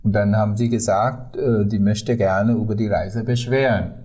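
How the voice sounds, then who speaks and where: An older man from Sri Lanka, a non-native speaker with a Sri Lankan accent, telling stories haltingly as a tour guide in an interview. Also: on the low side, fairly even intonation, a little mellow, breathy edge. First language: not German. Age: 50s or older. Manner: halting, non-native German with frequent hesitations. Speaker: tour guide, podcast guest. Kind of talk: conversational storytelling in an interview.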